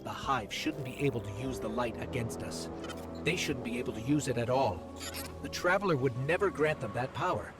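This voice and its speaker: A man's voice: mid-range voice, lively intonation, a little fast, lightly acted. A man voicing a small robot guide in a video game, earnest, curious and watchful, speaking conversationally. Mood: puzzled, uneasy. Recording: game music behind the voice.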